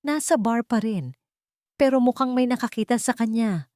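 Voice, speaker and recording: A woman's voice: fairly high pitch, normal speed, a little mellow, no room sound, clean studio sound, voice pushed up, close to an everyday voice. Woman doing a trembling, panicked female friend. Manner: whispering, trembling voice. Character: frightened woman friend whispering news by phone.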